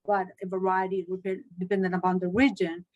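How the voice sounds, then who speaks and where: A middle-aged woman from Chile, a Spanish teacher answering interview questions in a measured, earnest way with frequent restarts. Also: lowish voice, little pitch movement, darkish tone, lo-fi sound.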